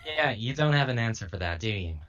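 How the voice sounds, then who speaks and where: A man who does voice acting, talking casually and a little haltingly. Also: medium pitch, animated, a bit bright, muffled recording, a little hiss or hum. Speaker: voice actor. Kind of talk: casual introduction and banter.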